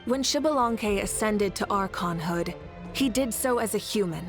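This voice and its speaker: A woman's voice: mid-range voice, animated, moderate pace, close to an everyday voice. A woman voicing a confident, passionate adult ruler in a game, teasing and laughing easily. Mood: assured. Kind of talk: game voice acting. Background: faint game music.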